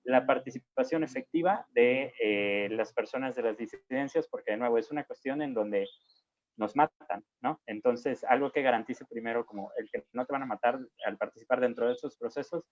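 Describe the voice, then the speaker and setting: A man's voice: medium pitch, moderate pace, no echo, lo-fi sound. A young man speaking as a panelist in an online discussion, hesitant, with many fillers and restarts. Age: young adult. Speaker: panelist, young political activist. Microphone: video call.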